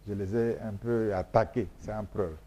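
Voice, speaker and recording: Emphatic, lecturing Congolese man, mellow voice. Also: lowish voice, fairly even intonation, a little slow, slight background noise. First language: Mboshi. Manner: emphatic, repetitive, lecturing, many hesitations. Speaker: scholar being interviewed. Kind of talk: explanatory interview talk.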